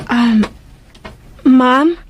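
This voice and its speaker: An actress playing a timid young maid with a secret, speaking hesitantly and faltering in a radio drama. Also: female voice, sits high, very slow, slight background noise. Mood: nervous, hesitant.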